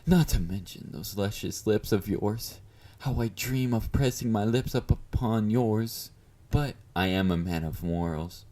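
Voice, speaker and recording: A man's voice: on the low side, unhurried, warm tone, close to an everyday voice. A man playing a confident, obsessive, flirty yandere fan in an intimate audio roleplay, teasing and smug as he speaks to his beloved. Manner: teasing, smug, mock-considerate, murmured endearments.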